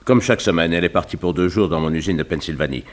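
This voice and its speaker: A man's voice: sits low, rapid-fire, lightly acted. A man playing a threatened wealthy industrialist and father, explaining himself formally in a 1960s French radio crime drama. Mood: concerned, explanatory.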